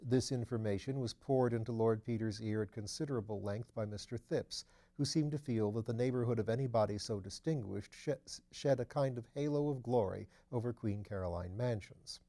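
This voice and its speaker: Mellow male audiobook narrator. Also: mid-range voice, brisk. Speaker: audiobook reader. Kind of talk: scripted literary narration.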